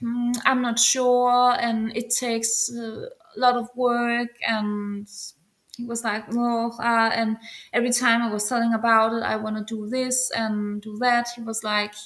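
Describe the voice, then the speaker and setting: Young woman, light voice, talks slow and flat, lots of uh and um, english not her first language. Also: fairly high pitch. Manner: hesitant, searching for words. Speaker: guest musician. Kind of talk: interview answers.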